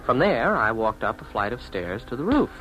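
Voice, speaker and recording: A man's voice: mid-range voice, sing-song, normal speed, muffled recording, close to an everyday voice. An actor with an American accent playing a lonely city man in a 1950s radio drama, narrating his own awkward romance. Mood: wistful, reflective. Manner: hesitant and awkward in dialogue. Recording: vintage 1950s radio broadcast, music behind.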